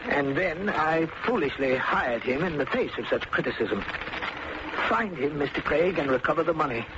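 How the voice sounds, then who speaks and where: Guy playing nervous middle-aged american bank manager, highish voice, fussy and hesitant, old radio drama w/ noisy background. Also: lively intonation, moderate pace, muffled recording, natural voice. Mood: uneasy. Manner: fretful, hesitant, formal. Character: nervous, shriveled little male small-town bank manager.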